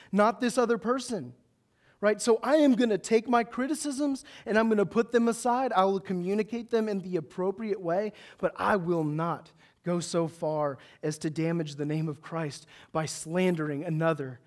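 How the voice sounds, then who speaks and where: A man's voice: high voice, darkish tone. A man preaching a sermon to his church, earnest and emphatic, repeating phrases for weight. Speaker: pastor.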